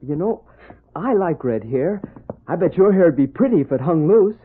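A male actor playing a kind, earnest fourteen-year-old boy in a radio western, gentle and reassuring. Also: on the high side, expressive, moderate pace, slightly rough. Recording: muffled, lo-fi vintage radio recording.

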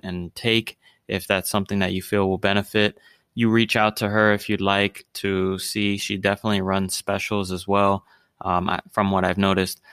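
Smooth low-voiced male podcast host. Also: a bit bright, dry recording, studio quality. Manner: easygoing, repeats words and restarts. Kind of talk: casual podcast chat.

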